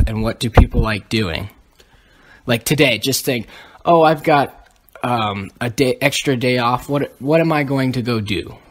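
A young man co-hosting a podcast, explaining history in a casual, lecturing way with many ums. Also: mid-range voice. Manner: lecturing, lists items, frequent ums. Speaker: podcast co-host.

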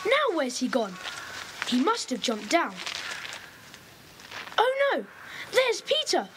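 A squeaky excited little boy muttering to himself, very high, up and down. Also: slow, background noise, heavily acted. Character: excited young boy hiding up a tree.

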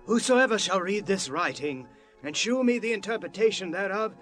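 A man's voice: sits high, animated, normal speed, fairly bright tone, soft background music. An actor playing a frightened, commanding king of Babylon in a dramatized scripture reading. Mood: alarmed, commanding. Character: frightened Babylonian king making royal offer.

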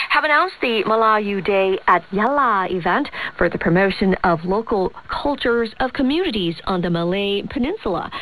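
A woman reads scripted news as a radio presenter. Her voice has a middle pitch, and it comes over a shortwave radio broadcast. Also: fairly bright tone, low-quality recording.

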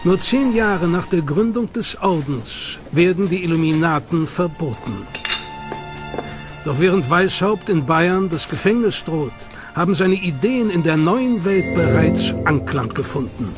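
A man narrating a documentary in a measured, dramatic manner. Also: fairly high pitch, lively intonation. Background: music.

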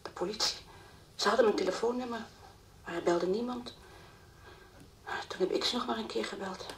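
An actress playing the anxious, distraught mother of a missing girl in a Dutch radio play, halting and repeating her words. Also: medium pitch, close to an everyday voice. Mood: despairing, distraught. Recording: old radio play recording, faint hiss.

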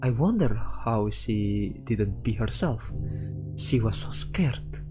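A man streaming a visual novel, reading aloud and commenting casually in a halting way. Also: fairly low pitch, expressive. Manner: halting, breaks off to react to the story. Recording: faint music behind the voice.

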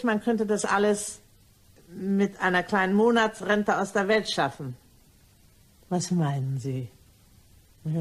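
A woman's voice: sits low, slightly rough. Woman acting sly, ingratiating cleaning lady. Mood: sly, insinuating. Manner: ingratiating, mock-innocent, deliberate. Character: sly, chatty cleaning lady turned blackmailer, feigning servile politeness. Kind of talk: German crime radio play acting.

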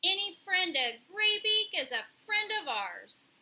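A woman's voice: very high voice, very animated, takes it slowly, sharp tone, voice pushed up, exaggerated voice. A woman voicing a bold, streetwise female pigeon who leads her flock, talking in an emphatic, colloquial, bossy way in a children's storybook.

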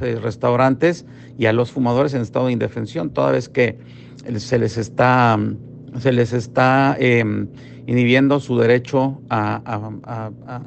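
Middle-aged man, gravelly, halting phone interview; faint line hum. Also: mid-range voice. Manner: hesitant, many fillers and restarts. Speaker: restaurant industry representative.

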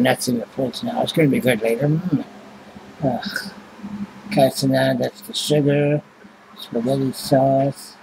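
A man's voice: medium pitch, vocal fry, mellow, a little hiss or hum, rough recording. A man, rambling and swearing casually as he reads prices off a receipt into a webcam at home. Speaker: vlogger. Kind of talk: casual chat. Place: small home room indoors.

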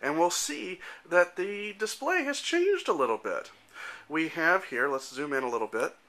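A man in his thirties giving an informal, matter-of-fact demonstration as a software developer, explaining things step by step into a handheld camera. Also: a little high, animated, moderate pace. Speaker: software developer presenting a demo. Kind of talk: informal product demonstration narration. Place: indoor room at home. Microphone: handheld camera microphone.

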